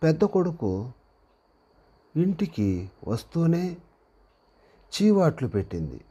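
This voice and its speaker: A man reading a moral story aloud as a narrator, deliberately, in short phrases with pauses, as if for dictation. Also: fairly high pitch, very animated, dark tone, slightly rough, dull audio.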